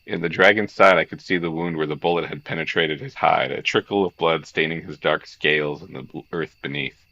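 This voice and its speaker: A man hosting a visual novel read-along, moving between narration and jokey, excitable, sweary commentary. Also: extremely low pitch, fairly quick, muffled recording. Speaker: streamer, host of a game read-along.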